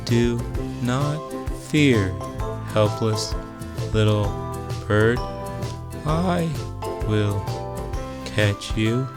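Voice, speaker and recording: A man doing a slow, reassuring spider. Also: lowish voice, loud music behind the voice. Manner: slow, word by word. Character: calm, reassuring female spider.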